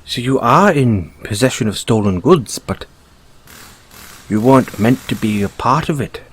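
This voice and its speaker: A man voicing a polite, inquisitive Irish bunny-boy car mechanic in an audio roleplay, with an Irish accent and a gently probing, wryly understated, hesitant way of talking. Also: medium pitch, animated, measured pace, breathy edge. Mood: surprised, sympathetic.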